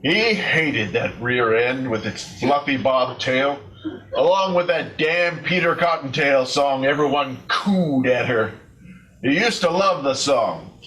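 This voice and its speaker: A man reading a scripted story aloud as a readers theater performer, deliberately and with comic emphasis. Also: mid-range voice, fairly bright tone, rough recording.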